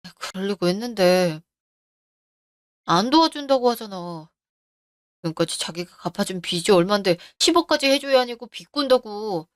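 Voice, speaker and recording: A woman voicing a middle-aged man who is deep in debt and blames others, in a narrated radio story. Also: animated, normal speed, dry recording, studio quality, lightly acted. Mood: pleading. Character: failing middle-aged man, indebted front-man boss.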